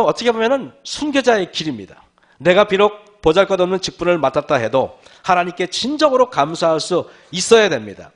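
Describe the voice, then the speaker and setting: A male pastor giving a sermon, with a hoarse voice and frequent throat clearing, often calling out to the congregation and asking rhetorical questions. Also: high-pitched.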